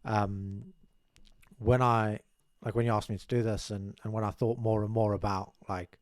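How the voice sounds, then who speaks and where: A young man from South Africa, speaking as a guest in a small studio. He talks in a modest, rambling way with many restarts. His voice sits low and close, with a dry, dead sound at a desk mic. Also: level tone, moderate pace, no echo. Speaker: podcast guest. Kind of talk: casual interview chat. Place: small studio room with curtains. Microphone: desk mic on boom arm, headphones.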